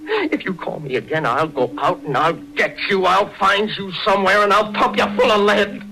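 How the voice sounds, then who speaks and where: A man's voice: high-pitched, lively intonation, moderate pace, creaky, sharp tone, a little hiss or hum, exaggerated voice, rough recording. An American actor playing a doomed, slangy tough-guy killer who is cracking up with fear in a 1940s radio thriller. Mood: frantic, defiant. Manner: slangy, jittery, emphatic repeating of phrases. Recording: vintage 1940s radio recording.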